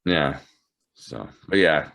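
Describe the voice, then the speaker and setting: A man's voice: very deep voice, slow, gravelly, fairly bright tone. A man hosting a casual chat in a conversational, agreeable way. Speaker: host.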